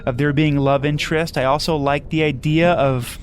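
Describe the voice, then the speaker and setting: A man's voice: a little high, steady tone, normal speed, close to an everyday voice. A man playing an eager, opinionated sci-fi screenwriter, insistent and earnest, nitpicking in a casual conversational style. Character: eager, opinionated male sci-fi screenwriter pitching big ideas. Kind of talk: improvised-sounding mock meeting, conversational. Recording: room ambience with some noise.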